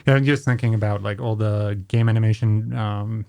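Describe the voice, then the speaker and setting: A man's voice: fairly low pitch, fairly even intonation, a little fast, creaky, darkish tone, no echo. A man in his thirties hosting a conversation, informally feeling his way through his questions. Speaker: podcast host, interviewer. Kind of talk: casual interview chat. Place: small indoor podcast studio. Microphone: studio mic on boom arm, headphones.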